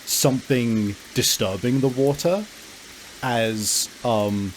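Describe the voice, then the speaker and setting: A man improvising scene descriptions as a game master, halting as he searches for words. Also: medium pitch, a little hiss or hum, poor audio. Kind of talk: improvised tabletop game narration.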